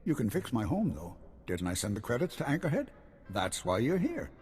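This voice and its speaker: An actor playing an anxious, proud old hermit farmer and former guard in a video game, hesitant and halting. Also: male voice, medium pitch, wildly swooping pitch, darkish tone, breathy edge, exaggerated voice. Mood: ashamed, anxious. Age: older. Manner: hesitant, halting, repeating himself. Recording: long hall reverb, music behind.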